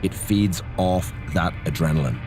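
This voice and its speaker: An actor playing a cautious, earnest wrestling mentor in a video game cutscene, speaking in a grave, measured way. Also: male voice, deep voice, over music, low-quality recording. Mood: measured caution. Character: grave, cautious male wrestling mentor wary of dark power.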